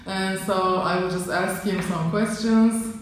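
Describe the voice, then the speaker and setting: A woman asks interview questions in a mid-range voice, often pausing and restarting with "um" and "I mean". Her voice rings strongly around an echoing office, with a faint hiss behind it. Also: mid-range voice, fairly even intonation. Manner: hesitant, with many ums and I means. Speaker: interviewer, association coordinator. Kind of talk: conversational interview. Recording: very echoey office with faint noise.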